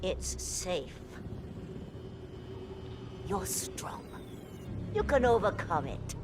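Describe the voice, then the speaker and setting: A woman's voice: on the low side, very animated, heavy background noise, full character voice. A woman voicing a cold, imperious female overseer who coolly goads on cruel experiments in a video game. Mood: cold, urging. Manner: imperious, measured, contemptuous. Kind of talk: dramatic video game acting. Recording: loud music over the voice.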